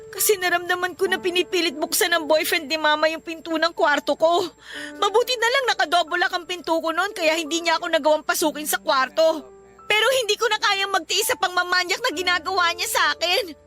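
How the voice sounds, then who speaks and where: High-voiced, distressed young Filipina girlfriend. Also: pushed and bright. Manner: pleading, plaintive, emotional. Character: distressed young girlfriend who ran away from home. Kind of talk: Filipino radio drama acting.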